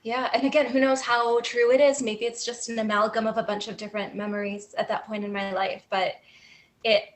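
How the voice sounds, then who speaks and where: A woman guest on an interview, answering in a thoughtful, wry, soft-spoken way. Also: fairly high pitch, flat delivery, fairly quick. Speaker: guest author.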